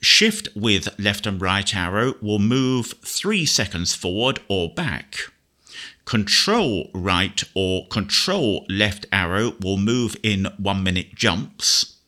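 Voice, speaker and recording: Male tutorial presenter. Lowish, bright, lively intonation, casual and explanatory. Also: slow pace. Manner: conversational, explanatory. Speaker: presenter demonstrating screen reader software.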